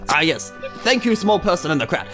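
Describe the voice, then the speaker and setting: A man's voice: sits high, very animated, fairly bright tone. Man playing a pompous bumbling hero, swooping delivery. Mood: befuddled, jolly. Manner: pompous, halting, grandly muddled. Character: pompous, bumbling old-fashioned hero, champion of village games. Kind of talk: ceremonial speech in a tabletop roleplay. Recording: music behind the voice.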